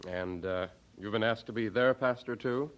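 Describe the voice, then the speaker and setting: Seminary dean, played by an actor: older man, lowish voice, avuncular, thoughtful, radio drama. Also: normal speed, a bit bright, lightly acted. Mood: kindly, thoughtful. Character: kindly, measured older male seminary dean.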